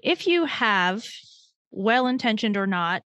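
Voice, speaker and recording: A woman's voice: mid-range voice, big pitch swings, takes it slowly, a bit bright, no room sound. Chatty woman podcast host, dry sound. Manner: chatty, self-deprecating, thinks aloud in long winding questions. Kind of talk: conversational interview.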